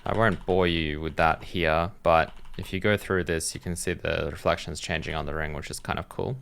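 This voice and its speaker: A man's voice: extremely low pitch, fairly even intonation, normal speed, vocal fry, a bit bright. A man giving casual, unscripted reaction commentary as a host, hesitant and rambling as he thinks aloud. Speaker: host reacting to game trailers as a game developer.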